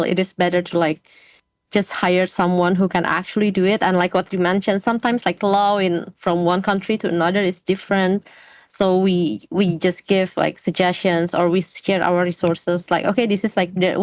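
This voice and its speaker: A woman from Indonesia with an Indonesian accent, an interview guest speaking English as a second language, pausing often with fillers as she searches for words. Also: lowish voice, dry recording. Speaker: guest, game industry speaker. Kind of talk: casual interview talk.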